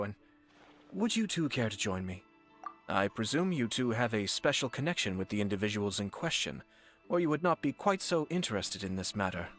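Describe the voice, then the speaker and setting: A man voicing a calm, refined young noble clan commissioner who speaks in a polite, formal and measured way. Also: fairly low pitch. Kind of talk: game voice acting.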